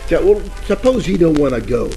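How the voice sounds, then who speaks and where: A man's voice: on the high side, sing-song, fairly quick, warm tone, soft background music. An actor playing a bumbling, jittery private detective in a 1940s radio parody, rambling and stammering through tough-guy slang over crackly static. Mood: wary, cajoling.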